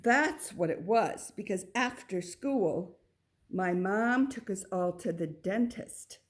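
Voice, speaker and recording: A woman reading a children's picture book aloud, with expressive delivery and little asides to the listener. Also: mid-range voice, slow pace. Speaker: storybook reader.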